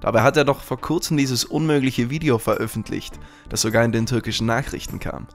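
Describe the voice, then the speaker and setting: A man acts a friendly kebab shop owner on the shady side. His mid-pitched voice crackles with fry, in the style of a detective audio spoof. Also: fairly quick, faint music behind, natural voice. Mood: genial. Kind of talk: parody detective radio play.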